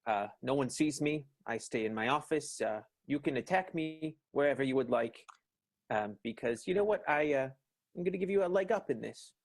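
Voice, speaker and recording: A man acts out a flustered boss in an improvised radio comedy. His slightly rough, husky voice swings up and down as he stammers, hedges and stalls. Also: medium pitch, lively intonation. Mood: nervous, evasive. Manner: halting, stammering, hedging. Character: flustered, evasive male 1920s boss stalling nervously.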